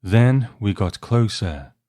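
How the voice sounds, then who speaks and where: Low, mellow man reading as a wry young husband. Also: expressive, takes it slowly, dry recording, studio quality. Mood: wry, reflective. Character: young newlywed husband recalling a voyage, wry. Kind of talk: first-person short story reading.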